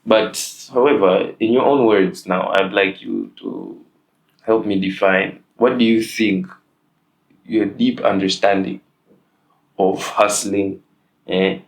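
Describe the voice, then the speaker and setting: Man, young adult, very deep voice, casual interview host, hesitant, filler-heavy. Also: fairly even intonation, takes it slowly, creaky, rough recording.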